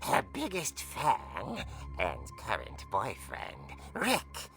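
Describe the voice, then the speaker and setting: An actor playing a gleefully macabre old horror-comic host, telling a tale in a hammy, drawn-out theatrical way in a video game. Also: mid-range voice, huge pitch swings, creaky, a bit bright, heavily acted. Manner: hammy, drawn-out dramatic delivery. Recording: music under the voice.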